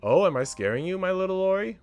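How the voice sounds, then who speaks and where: A haughty, doting young mistress from a visual novel, acted out by a man, speaks in arch, theatrical phrases. The voice swings expressively in pitch, with music underneath. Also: big pitch swings, fast, a little mellow, clean tone. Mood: teasing.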